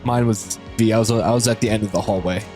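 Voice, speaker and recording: A man's voice: on the low side, fairly even intonation, fast, darkish tone, soft background music. A man chatting casually as a podcast guest. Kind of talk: casual chat.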